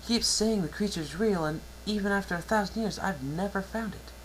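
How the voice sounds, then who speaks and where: Elder pony princess, played by a male reader: higher-pitched, exasperated storybook royal, faint noise on the recording. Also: brisk, a bit bright, low-quality recording. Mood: reasoning, soothing. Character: exasperated elder sister pony princess, guilty but soothing.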